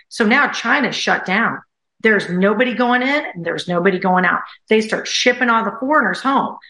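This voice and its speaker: Bright-voiced woman telling a story in an echoey room. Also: mid-range voice. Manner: animated, repeats phrases for emphasis. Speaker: guest. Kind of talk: casual storytelling.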